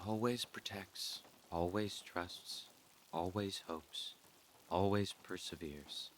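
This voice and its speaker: An actor playing a melancholy, brooding policeman in a radio drama, speaking in a deliberate way. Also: low voice, a little hiss or hum. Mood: mournful, subdued.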